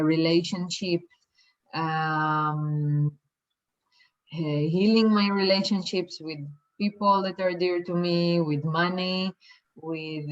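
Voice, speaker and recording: Israeli woman teacher, talks real slow and kinda hesitant, lowish voice, dull lo-fi sound. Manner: hesitant, many fillers and restarts. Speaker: light language teacher. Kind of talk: slow, soft-spoken workshop introduction.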